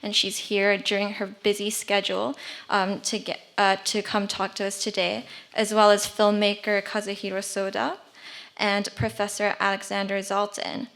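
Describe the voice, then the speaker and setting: A woman's voice: medium pitch, completely flat delivery, moderate pace, creaky, a bit bright. A woman moderating a panel, introducing the format and speakers in a friendly way with frequent ums and uhs. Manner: friendly, filler-laden. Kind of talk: event introduction. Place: event hall.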